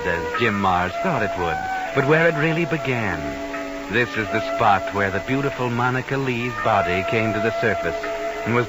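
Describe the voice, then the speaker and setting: Guy acting as creepy all-knowing radio mystery narrator, low ominous voice, loud music under it, old broadcast. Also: close to an everyday voice, low-quality recording. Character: sinister all-knowing male narrator who walks by night.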